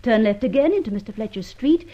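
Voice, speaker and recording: An actress playing a poised, cheeky society woman in an old-time radio drama, husky, airy and mock-innocent. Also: fairly high pitch, expressive, fast talker. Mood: cool, arch. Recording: dull, lo-fi old radio recording.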